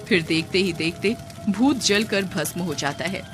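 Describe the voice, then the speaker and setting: A woman's voice: on the low side, unhurried, music in the background. A woman giving scripted narration for a scary cartoon story.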